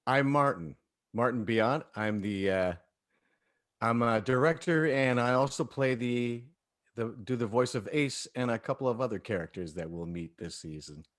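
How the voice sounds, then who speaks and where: A man's voice: medium pitch, moderate pace, dry recording, clean studio sound. A man who directs and voice-acts, talking casually in a group discussion. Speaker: director and voice actor. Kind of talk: casual chat.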